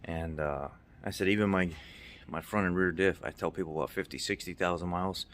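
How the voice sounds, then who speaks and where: A man's voice: low voice, fairly quick, fairly bright tone. A middle-aged man giving a casual, unscripted recap as a mechanic hosting a video, stressing his points by repeating them. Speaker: car maintenance video host, mechanic.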